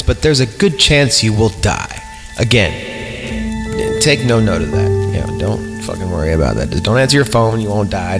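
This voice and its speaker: A man hosting a podcast, reading a horror story irreverently with sweary, goofy asides and mocking ad-libs. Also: lowish voice. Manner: sweary, goofy, breaks off to ad-lib and mock the text. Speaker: podcast host. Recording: long reverb, loud music behind. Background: eerie film score music.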